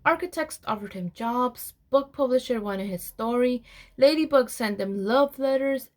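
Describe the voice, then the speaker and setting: A woman reading a children's storybook aloud in an expressive storyteller's manner, with deliberate pauses. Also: on the high side. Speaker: program administrator reading aloud.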